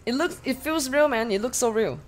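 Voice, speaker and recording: Excitable Singaporean woman streamer. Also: high voice, brisk. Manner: excitable, swears and shrieks at scares, talks to chat. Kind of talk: live gameplay commentary. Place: small bedroom. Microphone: studio mic on arm with headphones.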